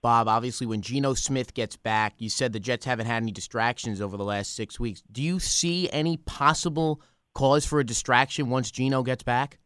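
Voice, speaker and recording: A man's voice: medium pitch, moderate pace, fairly bright tone, smooth, dry recording, studio quality. A man co-hosting a sports podcast, asking a guest questions in a conversational interview style.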